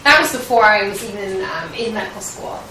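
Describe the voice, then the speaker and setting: A woman's voice: medium pitch, animated, a little fast, sharp tone. A young woman from Washington State with an American accent, giving earnest, hesitant answers as a medical student in an interview. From Washington State, United States. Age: young adult. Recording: roomy echo, distant mic, faint noise.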